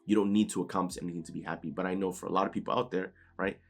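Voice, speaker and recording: A man's voice: fairly even intonation, very fast, gravelly. A young man with a New York accent giving an unscripted motivational talk from his bedroom, casual, often tagging "right?" and sometimes stuttering. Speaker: motivational YouTuber. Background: low background music.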